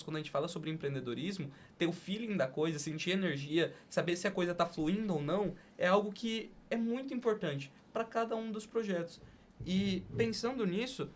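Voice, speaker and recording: A man with a Brazilian accent hosting a casual podcast interview. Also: sits high. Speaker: podcast host. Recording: faint background noise and ambience.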